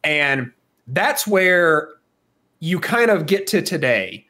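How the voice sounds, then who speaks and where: A man's voice: on the high side, big pitch swings, slow, sharp tone. A man co-hosting a podcast, talking casually and thinking aloud in a rambling, conversational way. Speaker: podcast co-host. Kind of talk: casual discussion of films and games.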